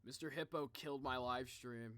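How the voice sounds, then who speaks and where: A man's voice: medium pitch, steady tone, normal speed, pushed and bright, dry recording. A young man giving casual livestream reaction commentary, rambling and thinking aloud as he speculates. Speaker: live streamer reacting to game videos.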